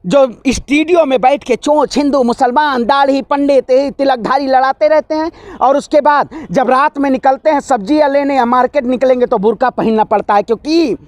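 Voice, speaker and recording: High-pitched, combative man. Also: moderate pace, few pauses, no room sound. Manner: combative, emphatic, firing rhetorical questions. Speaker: political speaker being interviewed. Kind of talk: heated street political rant.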